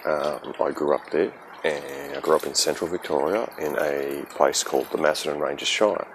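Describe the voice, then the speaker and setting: A man's voice: very deep voice, fairly even intonation, normal speed, a little hiss or hum. An Australian man hosting a solo podcast, speaking in an earnest, reflective way with many ums and restarts. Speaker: podcast host.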